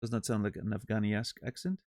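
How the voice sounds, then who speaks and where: A man's voice: lowish voice, fairly even intonation, quick pace, darkish tone, no room sound, clean studio sound. A man streaming and chatting casually with his viewers, joking and rambling with many restarts. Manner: rambling, self-interrupting, joking. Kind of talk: casual chat with live chat.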